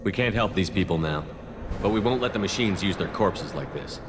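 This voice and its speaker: A man's voice: low-pitched, expressive, brisk, background noise. A man playing a resolute, decisive spaceship commander in a video game cutscene. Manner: decisive, authoritative orders.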